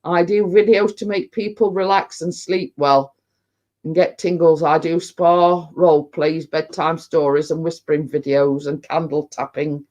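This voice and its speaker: A woman's voice: low voice, steady tone, unhurried, darkish tone, dull audio. A Lancashire woman in her fifties chatting casually on a home livestream, reading out viewer comments and rambling with frequent laughs. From Lancashire, England. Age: 50s. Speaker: livestream host.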